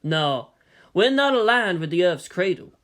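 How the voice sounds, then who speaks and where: A narrator playing a weary male army general, formal and measured, who reasons and pleads firmly. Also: mid-range voice, very animated, a bit bright. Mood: measured, reasoning. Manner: measured, official, placating.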